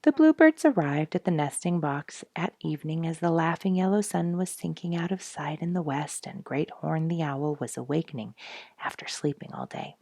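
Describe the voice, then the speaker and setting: A woman reading a children's story aloud as scripted narration, in a gentle storytelling manner. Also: low voice, lively intonation, normal speed, creaky, no room sound, clean studio sound. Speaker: storybook reader.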